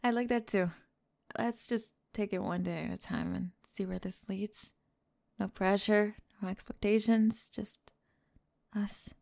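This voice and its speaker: Woman acting as sweetly smitten young female pop star, slow pace, slightly creaky, breathy, hesitant, ASMR romance roleplay. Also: medium pitch, fairly even intonation. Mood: tender, intimate. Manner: soft-spoken, breathy, giggly and hesitant.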